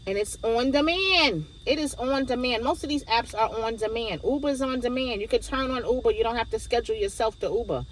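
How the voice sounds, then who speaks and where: A woman's voice: on the high side, fairly quick, hardly any pauses, a little hiss or hum, low-quality recording. A woman in her fifties talking casually to viewers with practical advice, conversationally repeating phrases for emphasis. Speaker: gig delivery driver giving advice to viewers.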